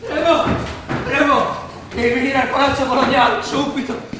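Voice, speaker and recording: An actor playing an excitable, frantic young husband in an Italian stage drama, with an exclamatory theatrical delivery. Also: male voice, very high-pitched, normal speed. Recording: echoey hall from far off, noisy.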